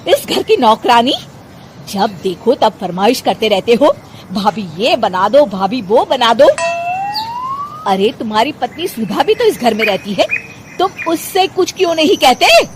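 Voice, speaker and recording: Woman acting a loud, scolding Indian sister-in-law. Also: a little high, big pitch swings, unhurried, noisy background. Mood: angry, indignant. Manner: loud, sharp, indignant rhetorical questions. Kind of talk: cartoon moral story acting.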